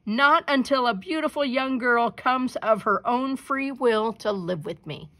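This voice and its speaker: Lady doing a angry storybook dragon guy, loud n defiant, pitched way up, drags words out. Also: measured pace. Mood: furious. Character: roaring, stubborn, wrathful male river dragon.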